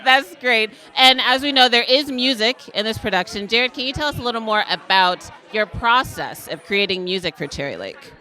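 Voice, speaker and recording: Female interviewer, very bright medium-pitched voice, encouraging, slight crowd murmur behind. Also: expressive, normal speed, few pauses. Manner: encouraging, affirming. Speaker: interviewer, host. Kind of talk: interview hosting. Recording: light crowd chatter behind the voice.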